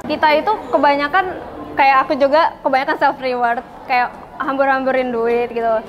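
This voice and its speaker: A woman's voice: sits high, steady tone, normal speed, poor audio. An eighteen-year-old woman student answering street interview questions in a chatty way, full of fillers and English loanwords.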